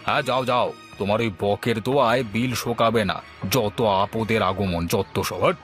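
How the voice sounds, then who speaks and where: A man voicing a gruff village husband who sells water in a moral-tale cartoon. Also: fairly low pitch, moderate pace, fairly bright tone, soft background music, lightly acted. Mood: irritated, dismissive.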